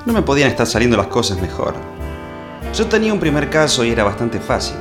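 An actor playing a naive, self-important amateur detective in a comic radio play, deadpan and theatrical, with an Argentine accent. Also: male voice, medium pitch, fast talker, over music, close to an everyday voice. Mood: blunt, smug.

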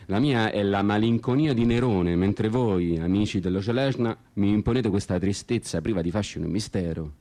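A man's voice: lowish voice, normal speed, hardly any pauses, dark tone. A man performing a dramatic literary monologue as a radio theatre storyteller, with an ironic, brooding, theatrical manner. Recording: guitar music behind the voice. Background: guitar music.

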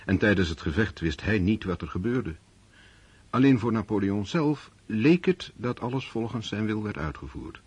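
A man acting as the sober narrator of a historical war story in a radio play, measured and reflective. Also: lowish voice, lively intonation, darkish tone, slightly rough, dry recording.

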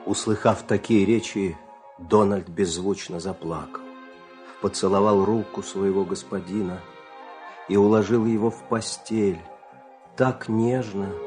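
A man narrating a scripted fairy tale as an expressive storyteller. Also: fairly low pitch, measured pace, music in the background.

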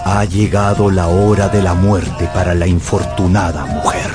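A man's voice: low-pitched, steady tone, a little slow. A man narrating an adventure novel as dramatic scripted storytelling for radio. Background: music.

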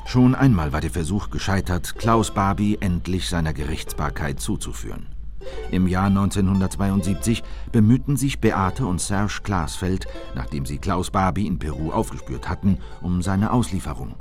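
An actor playing a grave, indignant male government insider who narrates events in a scripted radio play. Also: sits low. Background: prominent music.

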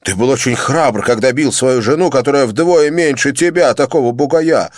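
A man's voice: medium pitch, normal speed, dry recording, voice pushed up, lightly acted. A male narrator voicing an angry young man who harshly accuses and taunts a drunk, in a dry, close recording. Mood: taunting.

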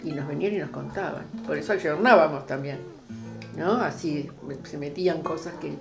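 A woman's voice: low-pitched, normal speed, creaky. An older Argentine woman reminiscing conversationally in an interview, often repeating herself and checking with the listener. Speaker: interviewee, former radio drama maker. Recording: guitar music under the voice. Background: guitar music.

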